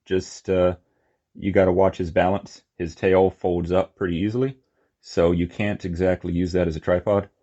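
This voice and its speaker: A man's voice: low voice, level tone, normal speed, warm tone, muffled recording. A man giving a casual, unscripted hands-on toy review, rambling and murmuring to himself as he goes. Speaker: toy collector reviewing figures.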